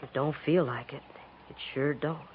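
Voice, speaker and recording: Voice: mid-range voice, steady tone, moderate pace, breathy edge, lightly acted. An actor playing a frightened, dazed frontier boy in a 1950s radio western, polite and guarded, with hesitant rustic speech. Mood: fearful. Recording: muffled, noisy 1950s radio recording.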